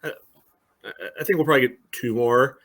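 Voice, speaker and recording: A man's voice: medium pitch, steady tone, brisk, a bit bright. A man co-hosting a soccer podcast, reasoning casually and hesitantly, with many filler phrases. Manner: hesitant, leans heavily on "you know". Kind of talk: casual sports discussion.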